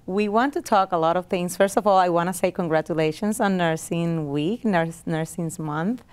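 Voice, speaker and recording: A woman's voice: fairly low pitch, moderate pace, clear, resonant tone. A woman from Puerto Rico hosting a conversational public health interview. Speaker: substitute host, public health worker.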